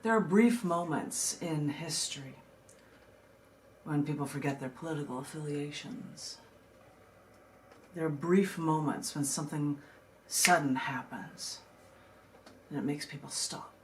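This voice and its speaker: A woman poet from the United States reading her own work and talking casually about it, in a measured way with dramatic pauses. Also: sits low, a little hiss or hum. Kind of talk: poetry reading with casual asides.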